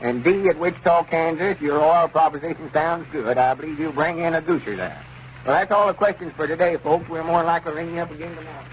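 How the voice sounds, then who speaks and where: A faintly noisy, dulled 1930s radio recording carries a man acting a folksy country storekeeper from the American South. His voice sits a little high, and he talks in a halting, self-important, officious way, as in old comedy serials. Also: highs cut off, natural voice. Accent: rural Southern US. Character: folksy, self-important small-town Arkansas storekeeper running a bureau. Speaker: comedy radio show lead.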